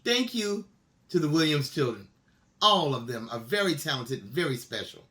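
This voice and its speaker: A man's voice: fairly high pitch, lively intonation, moderate pace, sharp tone. A man hosting a showcase, announcing and thanking the readers. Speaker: event host.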